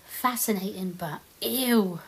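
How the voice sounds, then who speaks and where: A woman's voice: mid-range voice, slow, breathy edge. A woman playing a disgusted, sarcastic apocalypse survivor in an audio comedy. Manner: snarky. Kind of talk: indie audio comedy drama acting.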